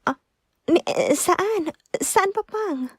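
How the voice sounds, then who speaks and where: A woman's voice: extremely high pitch, expressive, slow pace, no room sound, full character voice. A woman with an Ilocano accent playing a meek, long-suffering young daughter-in-law in a radio drama, hesitant and plaintive. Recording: music bed behind close dialogue.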